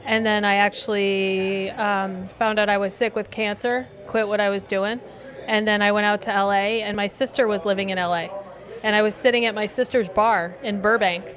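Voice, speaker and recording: Una mujer de unos cincuenta años, de Connecticut, humorista invitada que cuenta anécdotas con ironía sobre sí misma en una charla en vivo. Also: female voice, medium pitch, fairly even intonation, normal speed, fairly bright tone. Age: 50s. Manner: storytelling, dry self-deprecating. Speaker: comedian, guest. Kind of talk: casual live podcast chat. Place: bar venue with an audience. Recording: live in a bar with a crowd.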